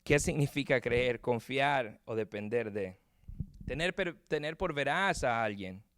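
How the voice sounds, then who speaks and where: A man's voice: medium pitch, lively intonation, a little slow, fairly bright tone, clear, resonant tone, dry recording. A man with a Puerto Rican Spanish accent preaching a sermon earnestly, often repeating words as he searches for them. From Puerto Rico. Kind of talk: sermon with closing prayer.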